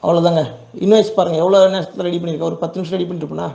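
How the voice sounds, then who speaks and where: A man's voice: high-pitched, flat delivery, racing, creaky, dark tone, muffled recording. A man with a Tamil Nadu accent giving an informal tutorial in a reassuring, plain-spoken way, often checking in with his listeners. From Tamil Nadu, India. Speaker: export business owner giving a tutorial.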